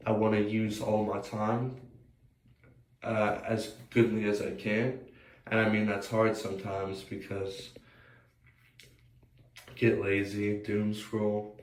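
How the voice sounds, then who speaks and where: A man's voice: fairly low pitch, monotone, slow pace, long pauses. A young American man with a slightly scratchy voice, rambling hesitantly as he thinks aloud in a casual, unscripted personal vlog. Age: young adult. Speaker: vlogger. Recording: roomy, distant mic, faint noise.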